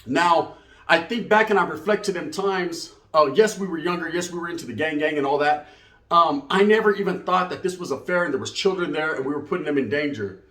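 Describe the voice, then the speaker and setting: Higher-voiced Chicano man telling stories, roomy echo. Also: brisk, a bit bright. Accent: Chicano Californian American. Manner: streetwise, slangy, peppered with Spanish words. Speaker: comic storyteller. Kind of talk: casual comedic storytelling. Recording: roomy, echoey sound.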